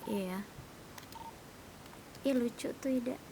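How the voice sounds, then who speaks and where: Woman gamer, casual and colloquial, unhurried delivery, clear noise behind her. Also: a little high, dark tone, poor audio. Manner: colloquial, chatty. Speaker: streamer playing an online roleplay game. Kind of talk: casual in-game chat.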